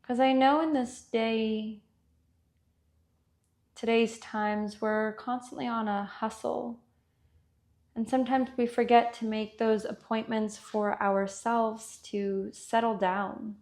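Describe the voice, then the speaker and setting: Slow, creaky-voiced woman guiding meditation. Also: fairly high pitch, fairly even intonation. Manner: gentle unhurried instruction with long pauses. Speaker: meditation guide. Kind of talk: guided body scan meditation.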